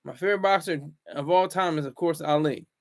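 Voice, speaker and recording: A man hosting a casual solo commentary vlog, rambling and restarting his words, swinging between loud bursts and low asides. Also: brisk. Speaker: commentary channel host.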